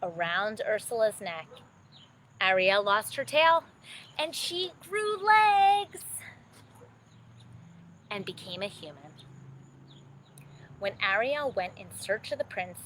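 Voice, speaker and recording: A woman narrating a children's bedtime story expressively, with dramatic, drawn-out emphasis. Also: medium pitch, lively intonation, a bit bright, slight background noise.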